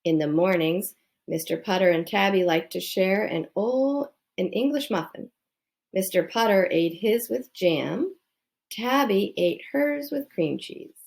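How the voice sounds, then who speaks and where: A woman reads a children's bedtime story aloud at a very unhurried pace. Her mid-pitched voice has a strong creak to it. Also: animated, a little mellow. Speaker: storybook reader.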